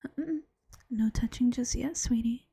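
A woman voicing an affectionate, teasing bunny-girl girlfriend in a slow, coaxing ASMR whisper. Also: fairly high pitch, vocal fry, dark tone, breathy edge, dry recording, heavily acted. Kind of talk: ASMR roleplay.

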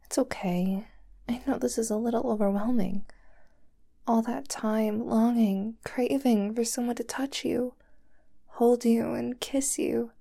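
A woman voicing a doting, possessive young girlfriend who holds her lover captive, talking softly and sweetly in a whispery voice. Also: fairly high pitch, steady tone, measured pace, vocal fry. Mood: soothing. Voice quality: soft and whispery. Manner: sweet, clingy, coaxing. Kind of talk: roleplay audio drama to the listener.

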